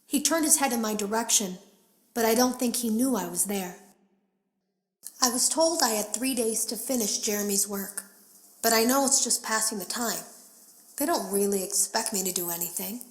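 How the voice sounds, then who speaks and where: An actress voicing a female beta tester who leaves hushed, wary audio logs warning of a hidden threat in a game. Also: fairly high pitch, normal speed, reverberant, natural voice, low-quality recording. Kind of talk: scripted game voice acting.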